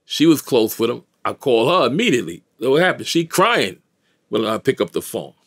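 Gravelly New York man telling a story. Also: medium pitch, big pitch swings, brisk, a bit bright, dry recording, clean studio sound. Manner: streetwise, slangy, emphatic. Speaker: interview guest. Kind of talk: casual storytelling in an interview. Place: small indoor recording studio, dry sound.

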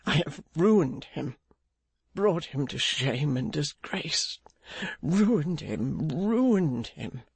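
A reader as an anguished gentleman, slow and broken. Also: mid-range voice, steady tone, no room sound. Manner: slow, broken, repeating himself in grief. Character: anguished, guilt-ridden gentleman, gentle and weary. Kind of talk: audiobook character acting.

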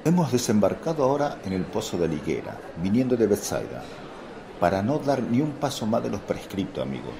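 A man's voice: lowish voice, sing-song, brisk, vocal fry, noisy background. An actor playing a blunt, indignant fisherman apostle in a radio drama, scolding and defensive. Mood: friendly, plain. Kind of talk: Spanish radio drama acting. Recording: crowd murmur behind voice.

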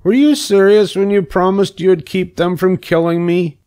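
A man's voice: high voice, steady tone, normal speed, darkish tone, pitched above the performer's own voice, natural voice. A man voicing an earnest, anxious young male pig in a storybook reading, pleading and eager.

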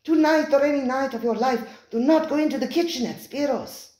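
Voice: high voice, a little fast, a little mellow, reverberant, voice pushed up, lightly acted. A reader voicing a frightened male waiter who begs a diner to heed his warning. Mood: pleading. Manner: desperate, insistent warnings.

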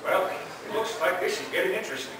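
A man's voice: high voice, lively intonation, fast talker. A man announcing in the style of an old-time radio show. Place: large convention meeting room, on stage. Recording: echoey, distant, noisy convention hall.

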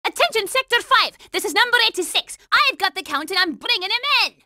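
A woman's voice: extremely high pitch, lively intonation, fast, pushed and bright, no echo, clean studio sound, full character voice. A woman voicing a bossy, hot-tempered young girl operative in a cartoon video game, brash and commanding. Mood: fierce, commanding.

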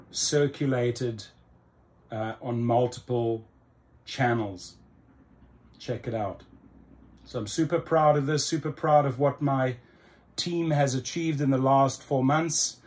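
A man's voice: mid-range voice, darkish tone. A middle-aged man with a South African accent, talking casually to camera in a room to promote his channel, upbeat and salesy with lots of ums. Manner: upbeat, salesy, with many ums and slow emphatic phrases. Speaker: YouTube channel host. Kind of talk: casual promotional vlog talk. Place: indoor room in front of a computer screen.

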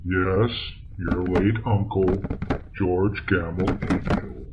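A man voices a patient, formal companion with a very low voice and careful, measured speech. A faint hiss sits under the muffled, lo-fi audio drama. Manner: calm, measured, precise, slightly exasperated. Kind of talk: amateur old-time radio parody.

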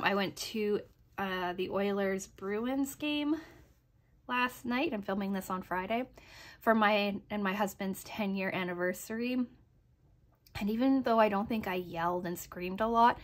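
Slightly hoarse woman chatting through a review. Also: medium pitch, a bit bright. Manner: hesitant, chatty, trailing off and checking with "right?". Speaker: sewing pattern reviewer. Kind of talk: casual review narration over project photos.

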